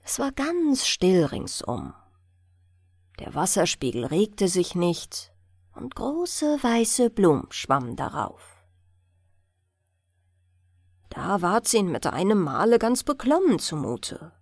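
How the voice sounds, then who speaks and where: A woman reading a fairy tale as a bedtime audiobook, in an expressive storytelling manner. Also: medium pitch, slow pace, long pauses, slightly rough, no room sound, studio quality. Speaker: audiobook reader.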